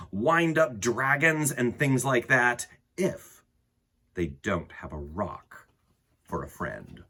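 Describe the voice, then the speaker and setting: A man with a husky voice reading a picture book aloud to children in an expressive way, with dramatic pauses and emphasis. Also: lowish voice. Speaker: children's storytime host and reader.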